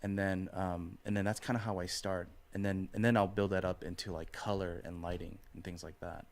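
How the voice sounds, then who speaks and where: A man's voice: deep voice, little pitch movement, fairly quick, vocal fry. A man speaking as a guest artist in a casual podcast interview, thoughtful and hesitant, repeating phrases and checking in with "right" and "you know". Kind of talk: casual podcast interview, explaining art process.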